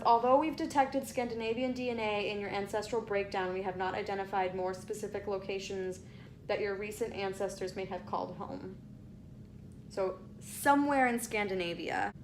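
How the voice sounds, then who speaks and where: A woman's voice: medium pitch, slight background noise, low-quality recording. A young woman vlogging casually, reading results aloud with chatty asides and tripping over place names.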